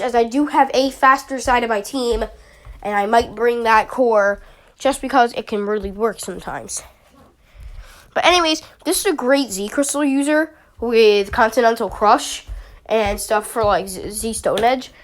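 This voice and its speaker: A young woman with a sniffly summer cold, chatting casually and rambling about her team picks, with many fillers. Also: fairly high pitch. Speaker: YouTuber analyzing a draft league team. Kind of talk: casual commentary.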